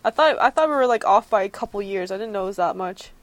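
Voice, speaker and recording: A woman's voice: mid-range voice, expressive, fast, dry recording. A woman of twenty-one co-hosting a casual chat, giggly and teasing. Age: 20s. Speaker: podcast co-host.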